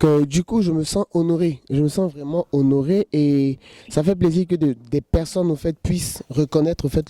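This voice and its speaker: A man in his thirties, a choreographer, answering interview questions in a relaxed, joking way and saying "voilà" often. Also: a little high, dry recording. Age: 30s.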